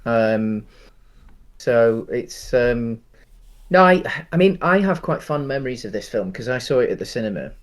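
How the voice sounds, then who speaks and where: A man co-hosting a casual film discussion podcast, speaking in a hesitant, halting way. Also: mid-range voice, lively intonation. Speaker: podcast co-host.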